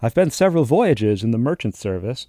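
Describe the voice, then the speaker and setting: A man's voice: mid-range voice, big pitch swings, brisk, mellow, dry recording, studio quality. A man doing a polite young sailor. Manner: polite, deferential answers. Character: polite young male sailor seeking work.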